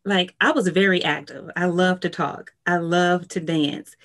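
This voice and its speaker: A woman hosts a podcast and talks with her guest in a relaxed, conversational way. She answers in an affirming, encouraging manner, at a slightly low pitch. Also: a little slow. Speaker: podcast host. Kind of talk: casual interview conversation.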